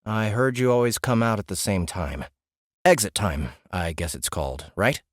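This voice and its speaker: A man's voice: on the low side, expressive, moderate pace, dry recording, clean studio sound, lightly acted. A man voicing a curious young man asking questions in an audiobook. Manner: casual, slightly unsure questions. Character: young man new to a game world, curious. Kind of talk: audiobook character voice.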